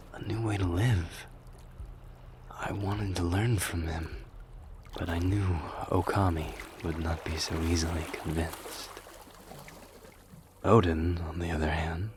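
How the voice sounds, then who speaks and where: A man's voice: sits low, slight background noise. A man playing an earnest, loyal warrior king in a dramatic audio roleplay, solemn and heartfelt.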